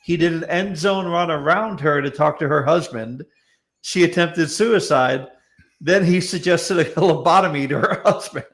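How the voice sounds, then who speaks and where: A man's voice: fairly high pitch, normal speed, fairly bright tone. A man chatting casually and comedically as a talk show guest, often stammering and repeating words as he goes. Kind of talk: casual comedic panel chat.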